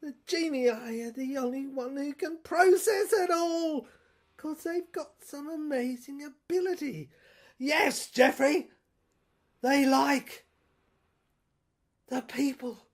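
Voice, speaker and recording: A woman playing an agitated, despairing corporate official in an audio drama, strained and volatile, lurching between hushed and loud. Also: high-pitched, slow, long pauses, pitched above the performer's own voice. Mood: pleading, agitated.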